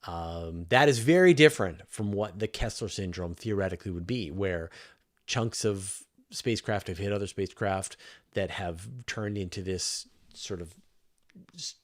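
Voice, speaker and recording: A man with a somewhat low voice hosts a question-and-answer livestream. He explains science in a conversational, informal way, reaching for everyday examples to make each point clear. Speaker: host of a space Q&A livestream.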